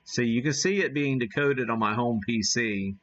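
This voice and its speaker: A man hosting a live hobby stream, giving a casual demo and thinking aloud in a halting way. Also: medium pitch, fairly bright tone, dull audio. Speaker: ham radio live stream host.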